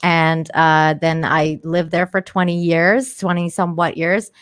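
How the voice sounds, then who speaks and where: A woman in her thirties speaks in casual conversation. Her voice sits a little low and is recorded dry and close. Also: flat delivery, a little slow, clean tone, dry recording. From Iran and Canada. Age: 30s. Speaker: panelist. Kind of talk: casual chat.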